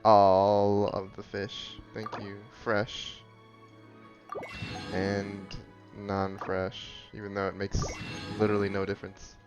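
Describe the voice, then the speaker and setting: A man's voice: lowish voice, monotone, takes it slowly, over music. A man giving dry, offhand, casual commentary while playing a video game. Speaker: gamer making commentary. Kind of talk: casual let's play commentary.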